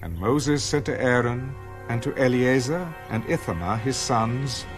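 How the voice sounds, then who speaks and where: A man narrating scripture as a scripted dramatized reading, in a measured, solemn manner. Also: mid-range voice, expressive. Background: music under the voice.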